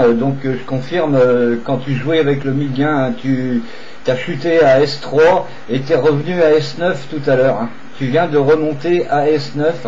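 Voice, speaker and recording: A man's voice: on the high side, a little mellow. A man operating a CB radio, chatting with another operator in a repetitive, hesitant way with radio procedure phrases. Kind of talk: radio contact chat. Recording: dull, slightly noisy CB radio recording.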